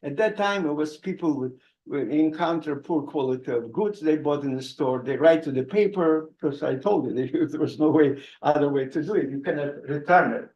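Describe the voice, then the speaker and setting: An elderly man with a Russian accent tells stories from his past, his voice sitting somewhat high for a man. He stops and restarts often, with chuckles between phrases. The sound carries a roomy hall echo. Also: darkish tone, highs cut off. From Odessa, Ukraine. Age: 80s. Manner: halting, with frequent restarts and chuckles. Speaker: author giving a book talk. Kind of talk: conversational anecdotal storytelling.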